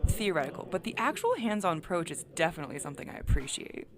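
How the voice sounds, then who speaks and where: Woman performing a confident academic, flirtatious, playfully deadpan, lively intonation, slightly low voice, audio drama. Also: fast, a crowd in the background. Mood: playful, teasing.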